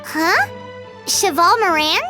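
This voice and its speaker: A woman voicing a small, cheeky, childlike fairy companion in a video game, squeaky and excitable. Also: slow pace, over music, heavily acted. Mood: surprised, curious. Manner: third-person self-reference, blunt.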